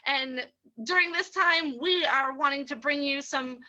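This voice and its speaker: A woman's voice: sits high, a little slow, sharp tone, muffled recording. A woman casually welcoming an audience as host of a radio players' reading.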